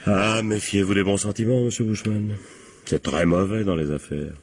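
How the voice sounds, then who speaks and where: An actor playing a sardonic police captain in a French radio crime drama, husky and colloquial, prodding with short tag questions. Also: male voice, lowish voice, steady tone, moderate pace, natural voice. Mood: forceful, accusing. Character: male police captain, insistent, sardonic interrogator.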